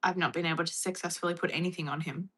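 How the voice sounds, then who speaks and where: A woman's voice: fairly low pitch, steady tone, very fast, bright, forceful tone, rough recording. An Australian woman chatting casually on a livestream, reading and answering viewer comments. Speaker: livestream host.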